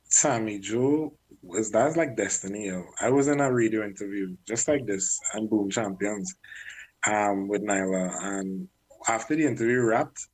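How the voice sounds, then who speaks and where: A man working as a music manager, chatting candidly in an interview and often clearing his throat. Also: on the low side, measured pace, darkish tone, low-quality recording. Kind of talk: casual interview talk.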